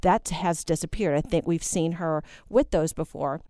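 Woman radio co-host, low warm voice, thoughtful, conversational. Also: normal speed, no echo, clean studio sound. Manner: reflective, then animated when indignant. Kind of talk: casual panel chat.

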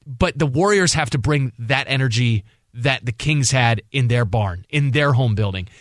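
A man's voice: medium pitch, moderate pace, no room sound, studio quality. A man co-hosting sports talk radio, conversational and emphatic, often repeating words for stress.

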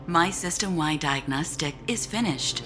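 A woman playing a calm, polite ship's computer in an audio drama, speaking in formal, measured, even tones. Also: low-pitched, soft background music. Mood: calm, matter-of-fact.

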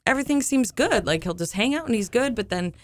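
A woman's voice: on the high side, lively intonation, fast, no room sound. A woman co-hosting a casual podcast, laughing often and rambling earnestly. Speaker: podcast co-host. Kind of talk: casual chat.

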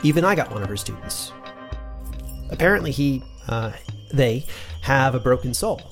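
Male fantasy teacher, middling pitch, conversational, reflective, audio journal, faint music. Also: expressive, normal speed, vocal fry. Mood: chatty, reflective. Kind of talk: conversational in-character monologue and credits.

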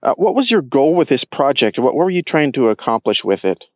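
A man with a mid-pitched voice works as an interviewer. He asks prepared questions politely, and the sound is very dry and close to studio-clean. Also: very animated, brisk, no room sound, phone-quality sound. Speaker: interviewer, show host.

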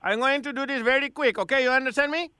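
A man's voice: very high-pitched, brisk, bright, forceful tone, clear, resonant tone, no echo, clean studio sound. A man voicing a pushy foreign immigration officer in a prank call, with a put-on foreign accent and broken grammar, repeating himself. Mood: brisk, officious.

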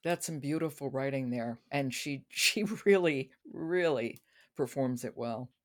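A woman's voice: very deep voice, measured pace. A woman hosting a conversational podcast about books. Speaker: podcast host.

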